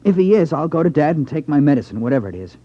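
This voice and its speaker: An actor playing a nervous, sheepish young playboy in a 1950s radio crime drama, earnest and defensive in manner. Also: male voice, a little high, very animated, racing. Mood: sheepish, confessing. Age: young adult. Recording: dull lo-fi 1950s radio recording.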